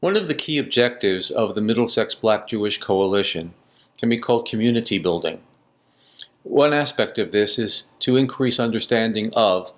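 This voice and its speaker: A man giving a scripted, measured announcement on behalf of a community coalition. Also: lowish voice. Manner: measured, read-from-script delivery. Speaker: coalition spokesperson. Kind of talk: scripted narration.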